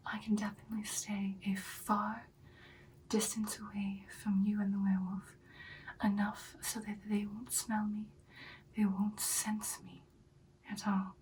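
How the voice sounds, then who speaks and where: Whispered ASMR roleplay: woman as an ancient, self-assured vampire girlfriend, breathy, intimate, slightly distant from the mic over faint hiss. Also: mid-range voice, darkish tone, close to an everyday voice. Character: ancient confident female vampire girlfriend, expert sniper.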